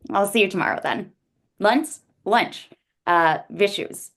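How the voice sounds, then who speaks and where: A woman's voice: medium pitch, measured pace, a bit bright, natural voice. A woman acting a defiant, flippant yet heartfelt young angel in a blunt, informal table-read style. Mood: chatty, exasperated.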